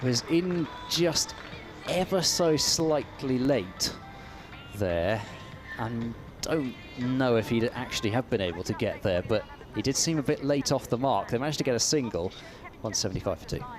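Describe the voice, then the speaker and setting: A man's voice: mid-range voice, lively intonation, normal speed, over music. A man doing ball-by-ball radio cricket commentary, hesitant and stumbling over repeated words, with his voice climbing when a shot is played. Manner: rises sharply when the action picks up.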